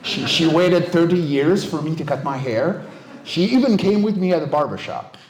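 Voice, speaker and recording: A man's voice: on the high side, animated, moderate pace, a little mellow. A man in his forties giving a casual, joking, self-deprecating talk to a live audience as a guest speaker. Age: 40s. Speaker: guest speaker, monster voice actor and vocal coach. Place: indoor venue at an evening meetup. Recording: roomy, echoing live talk venue.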